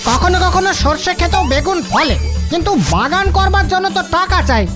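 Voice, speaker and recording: Woman voicing a very high, inquisitive cartoon character. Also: lively intonation, fairly quick, over loud music. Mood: practical. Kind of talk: comic cartoon voice acting.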